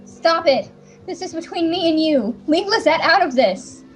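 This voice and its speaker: A woman's voice: very high voice, lively intonation, moderate pace, voice pushed up. A woman voicing a proud, anguished young princess heroine in a game fan dub, earnest and indignant. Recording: music behind.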